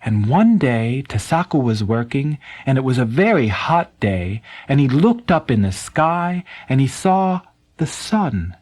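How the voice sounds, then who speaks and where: A man narrating a scripted bedtime story in an expressive, dramatic way, with pauses and emphasis. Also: mid-range voice.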